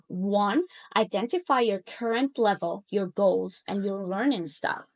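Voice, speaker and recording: Upbeat Colombian woman coaching language. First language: Spanish. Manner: upbeat, persuasive, lifts her pitch on key points. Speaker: Spanish coach. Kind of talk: webinar pitch and personal story.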